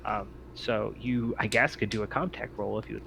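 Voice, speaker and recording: Halting low-voiced male game master. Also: steady tone, creaky, background noise. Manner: halting, hesitant delivery full of long ums and pauses. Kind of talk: narrating a tabletop roleplaying game, improvised.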